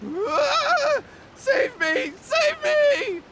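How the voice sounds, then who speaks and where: A man's voice: extremely high pitch, very animated, very slow, noisy background, heavily acted. A man playing an anxious, contrite young brother in a video game, earnest, nervous and deferential. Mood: terrified. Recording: faint music behind.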